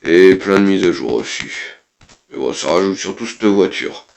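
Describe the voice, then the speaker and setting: A man's voice: sits low, lively intonation, moderate pace, vocal fry, darkish tone, slight background noise. A man, who has a cold, giving casual, blunt let's play commentary as a gaming YouTuber and swearing offhandedly.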